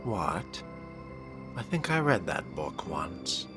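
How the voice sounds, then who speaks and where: A man's voice: low voice, very animated, takes it slowly, loud music behind the voice. A man narrating a video game in character, with a dry, sardonic and wordy manner. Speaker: gamer role-playing his character.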